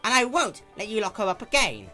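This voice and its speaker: A man's voice: sits high, huge pitch swings, a little fast, sharp tone, faint music behind, voice pushed up, heavily acted. A man voicing a cranky, distrustful old granny pony, snapping in folksy phrases for a story reading. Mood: indignant.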